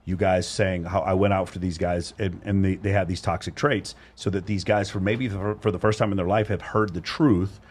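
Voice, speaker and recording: A man's voice: deep voice, steady tone, husky. A man in his thirties hosting a casual panel discussion, talking emphatically and often restarting his sentences. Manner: fast, emphatic, repeats words as he restarts. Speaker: podcast host.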